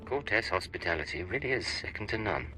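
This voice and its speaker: An actor playing a suave, wry, self-assured mercenary agent in a video game. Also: male voice, low voice, expressive, quick pace, sharp tone, soft background music, poor audio. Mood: cocky, cool. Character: cool, cocky mercenary captain, imperial agent. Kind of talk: scripted video game acting.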